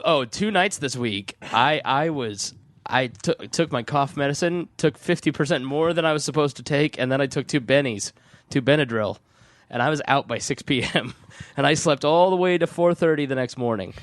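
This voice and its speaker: A man hosting a casual podcast chat, hoarse after losing his voice and loopy and giggly from cough medicine. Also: mid-range voice, normal speed, dry recording. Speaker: podcast host.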